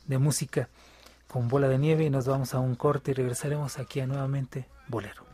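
A man hosting a radio music program, reading aloud from a book in a measured way with filler pauses. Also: medium pitch, steady tone, warm tone. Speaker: radio host.

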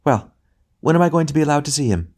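A man voicing the bitter ghost of a mother, snappish and demanding, raising his voice higher for her. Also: animated, fast talker, darkish tone. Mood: impatient, bitter.

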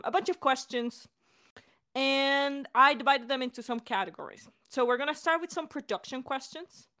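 A young woman hosting a casual podcast chat, talking in a bubbly, excitable and informal way. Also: fairly high pitch. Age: young adult. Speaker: director and voice actor hosting a Q&A.

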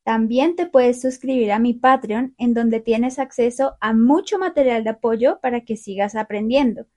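A young woman hosting a learner podcast, talking through a calm, clear, scripted monologue. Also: a little high, moderate pace. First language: Spanish. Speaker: podcast host.

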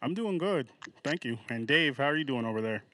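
A man's voice: mid-range voice, lively intonation, brisk, a bit bright. A man from New York State hosting a podcast, chatting casually and upbeat with his guests. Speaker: podcast host.

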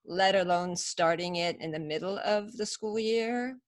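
A woman's voice: on the low side, unhurried. A woman in her fifties from Iran, a podcast guest telling her story in a reflective, casual way with frequent fillers. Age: 50s. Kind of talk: casual interview chat.

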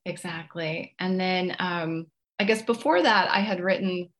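Middle aged woman author getting interviewed, voice a bit on the low side, chatty, lots of you knows. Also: unhurried, fairly bright tone. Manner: chatty, hedging with "you know" and "I guess". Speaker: guest author. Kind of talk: conversational interview answers.

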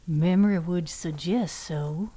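A woman's voice: lowish voice, slow, husky. Woman voicing a patient, teasing woodland storykeeper. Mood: wry, reflective. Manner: folksy, patient, gently teasing. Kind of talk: fiction podcast character dialogue.

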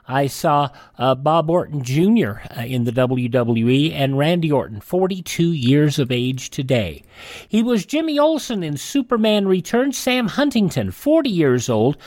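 Lively American radio host, man, dry sound. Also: fairly high pitch, no echo. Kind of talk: casual radio presenting. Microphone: close studio mic.

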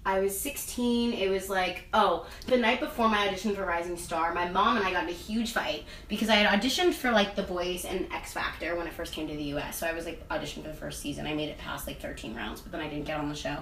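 A young woman with a lowish voice answers interview questions in loose, rambling chat, leaning on "like" and "um" as she goes. She sits back from the mic in an echoey room, with a faint hum of ambience behind her. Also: fast, few pauses, fairly bright tone, distant mic. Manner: rambling, heavy use of "like" and "um". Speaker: interviewee, singer and actress.

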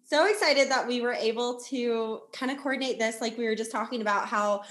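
A woman's voice: on the high side, brisk, a bit bright. A woman podcast host interviewing a guest in a casual, conversational way. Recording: roomy, echoing sound.

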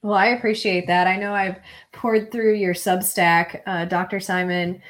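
A woman hosting a podcast interview, speaking in an encouraging, steady way. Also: mid-range voice, normal speed. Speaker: podcast host.

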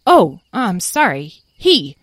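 A woman voicing a flustered, polite and awkward male character in a storybook, quick to apologise. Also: sits low, animated, darkish tone, no room sound, studio quality, exaggerated voice. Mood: hesitant.

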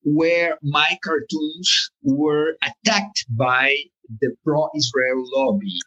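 A man's voice: a little high, drawn-out words, bright, forceful tone, muffled recording. A man being interviewed about his work as a cartoonist, speaking as a with many fillers and restarts. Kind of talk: conversational interview answer.

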